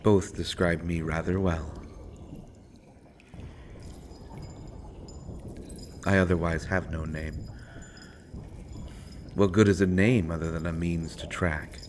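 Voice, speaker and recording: A menacing male assassin from an audio roleplay, acted by a man, speaks in a very deep voice at a slow, deliberate pace. He is brooding and sardonic, leaving dramatic pauses as he mocks. Faint ambient noise sits behind the voice. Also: steady tone. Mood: cryptic, menacing.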